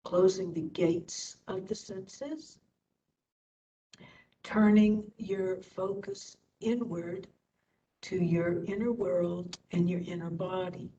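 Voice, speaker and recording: A woman instructor leading a guided meditation in short phrases with long pauses. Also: animated, dark tone. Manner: slow, pausing between short phrases. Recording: distant mic, dull, faint rumbling noise.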